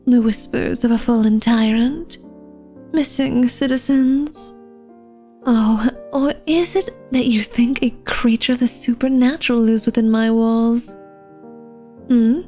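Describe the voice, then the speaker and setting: Slow, seductive vampire hostess played by a woman. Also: on the high side, steady tone, warm tone, soft background music. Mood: coy, flirtatious. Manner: formal, genteel, coy, teasing speech addressed to the listener. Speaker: ASMR roleplay voice actor. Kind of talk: ASMR roleplay acting, flirtatious.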